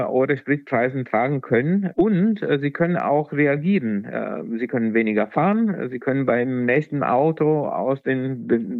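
A man with an Italian accent, a non-native speaker, gives expert answers in an interview, stopping often on filler sounds. His voice sits in a middle pitch range. The recording is dry and a little dull and muffled. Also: dry recording. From Italy. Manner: hesitant, many filler sounds. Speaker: economist guest.

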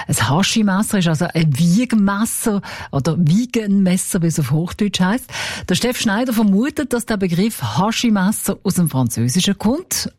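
A woman with a Swiss German accent, a radio presenter introducing a listener's question with smooth, friendly announcing. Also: lowish voice, darkish tone, no echo, clean studio sound.

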